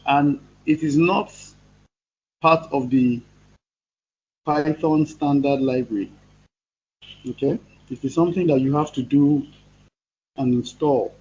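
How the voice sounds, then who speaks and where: A man's voice: a little high, takes it slowly, long pauses, darkish tone, highs cut off, a little hiss or hum. A male programming instructor with a cold, teaching a live online class and patiently spelling out terms step by step. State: has a cold.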